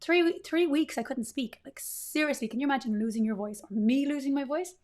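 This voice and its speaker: A woman in her thirties with a fairly high voice hosts a live coding stream from her desk. She talks in a chatty, upbeat way and greets her viewers often. Her voice is slightly strained while it recovers. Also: a little fast, a little mellow. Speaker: livestream coding host.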